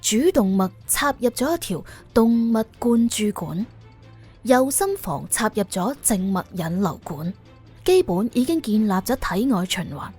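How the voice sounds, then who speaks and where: A woman's voice: medium pitch, sing-song, a little slow, darkish tone, breathy edge, soft background music. A woman with a Cantonese accent reading a scripted suspense audiobook as its narrator.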